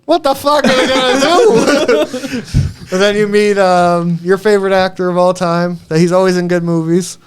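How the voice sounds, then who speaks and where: A man's voice: high voice, moderate pace, clear, resonant tone. A man co-hosting a casual podcast, retelling a film plot with swearing, jokes and frequent chuckles. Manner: sweary, joking, often chuckling while talking. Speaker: podcast co-host reviewing movies.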